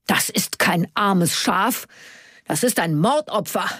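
A woman plays a retired policeman, older, stubborn and easily indignant. The voice is husky and sits low for a female reader, in a dry, close audiobook sound. He grumbles and orders impatiently. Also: moderate pace, no room sound. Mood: outraged. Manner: complaining, impatient, commanding. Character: grumpy, hot-headed retired male police officer, indignant and stubborn. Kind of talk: audiobook character dialogue.

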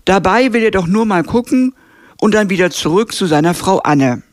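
A woman narrating a scripted radio film review in a measured, even way. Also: mid-range voice, no room sound.

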